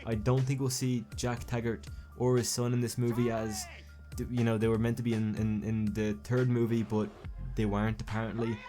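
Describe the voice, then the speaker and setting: A man's voice: medium pitch, little pitch movement, normal speed, mellow, faint music behind. A young man giving casual video commentary as a YouTube film commentator, rambling and often correcting himself mid-sentence. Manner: rambling, self-correcting, stumbles and restarts. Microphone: home recording mic.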